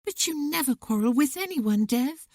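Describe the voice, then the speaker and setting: A narrator voicing a lively young girl who fires off eager questions in a high voice in an audiobook. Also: normal speed, no room sound, clean studio sound. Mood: alarmed. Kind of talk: audiobook character voice.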